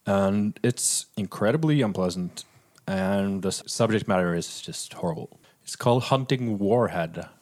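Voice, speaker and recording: A man's voice: low-pitched, measured pace, darkish tone. A man co-hosting a podcast, talking casually and informally with lots of loose, hedging phrasing. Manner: informal, hedging with frequent "sort of" and "like". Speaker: podcast co-host. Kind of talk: casual film podcast chat.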